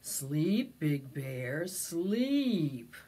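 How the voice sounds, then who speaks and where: A woman voicing a gently urging male winter cloud spirit in a storybook, slow, hushed and breathy. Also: low voice, big pitch swings, voice pushed down, exaggerated voice. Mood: urging, stern. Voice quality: whispery, breathy.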